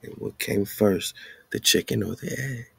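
A man's voice: on the low side, moderate pace, vocal fry, bright, forceful tone, slightly rough, no room sound. A man giving an informal, slangy commentary, asking rhetorical questions and repeating phrases for emphasis. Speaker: host. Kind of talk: informal commentary monologue over on-screen maps and documents.

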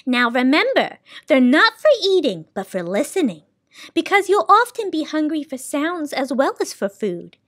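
A woman voicing a sorrowful but kindly female keeper of sounds in a read-aloud storybook, high and tearful. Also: very animated, moderate pace, dry recording, clean studio sound. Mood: gentle. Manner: high, tearful, then gentle and motherly.

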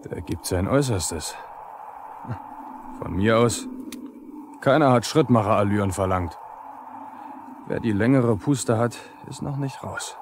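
A man's voice: lowish voice, a little slow, pauses often, lightly acted. An actor playing a cocky young lineman, sullen and mocking in colloquial speech, in a 1970s radio drama. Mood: strained. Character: cocky, defiant young male telephone lineman. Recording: faintly noisy 1970s vinyl radio play.

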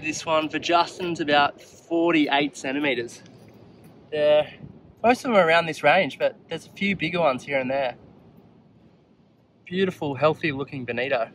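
A man with an Australian accent hosting a fishing show, giving chatty running commentary from a rocky ocean ledge and exclaiming often as he fights fish. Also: medium pitch. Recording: outdoor surf noise. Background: crashing surf and waves.

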